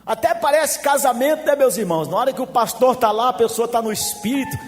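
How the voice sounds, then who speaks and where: A man, preaching a sermon as a pastor. He swings from shouts to quiet asides and jokes. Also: very high voice, fast talker. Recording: long hall reverb with faint music.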